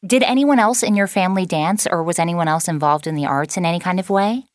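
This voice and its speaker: A woman's voice: fairly low pitch, fast, no echo, clean studio sound. A woman interviewing a guest, asking questions with enthusiasm. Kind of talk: casual interview.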